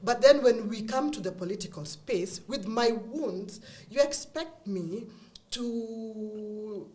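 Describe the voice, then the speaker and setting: A woman's voice: fairly high pitch, slow pace, darkish tone. Middle-aged Kenyan woman, candid guest, earnest with easy laughter. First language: Kikuyu. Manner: earnest, frequent laughs and restarts. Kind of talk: candid conversational chat.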